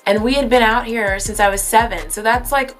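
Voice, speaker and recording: A woman's voice: a little high, brisk, soft background music, poor audio. A young woman around twenty, born in New York and raised in California, chatting through a casual question-and-answer vlog, rambling and correcting herself with lots of fillers. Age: 20s. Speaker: YouTuber.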